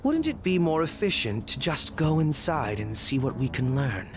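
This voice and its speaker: A man's voice: a little high, big pitch swings, normal speed. A young man voicing a coolly polite, shrewd detective in a video game, articulate and analytical.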